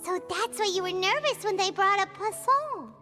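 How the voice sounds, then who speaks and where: Squeaky, tiny childlike companion. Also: female voice, lively intonation, normal speed, over music. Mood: sympathetic. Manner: squeaky, exclamatory. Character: tiny childlike companion, cheerful, sympathetic, outspoken. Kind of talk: video game voice acting.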